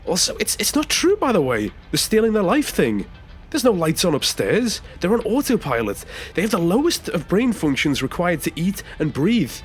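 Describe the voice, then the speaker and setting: A man acts out a nervous male taxi passenger in a sci-fi audio play. His voice sits fairly high and moves around a lot in pitch. He is halting and defensive, tripping over his words. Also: huge pitch swings, soft background music. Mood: defensive, earnest. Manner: stammering, hesitant, halting. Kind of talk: science fiction audio drama acting.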